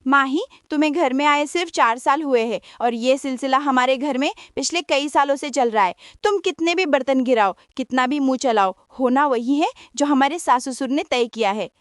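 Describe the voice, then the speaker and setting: A woman's voice: sits high, moderate pace, hardly any pauses, no room sound, clean studio sound, natural voice. A woman playing a resigned, aggrieved elder daughter-in-law and mother in a Hindi family audio story.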